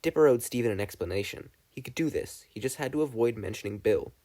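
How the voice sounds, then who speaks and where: A man narrating fan fiction from a script in an expressive storytelling style. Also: mid-range voice, fast. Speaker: podfic narrator.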